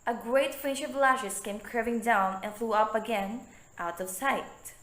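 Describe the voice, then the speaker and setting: Slow woman narrator in an echoey room. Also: on the high side, a bit bright. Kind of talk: storybook narration in reader's theatre.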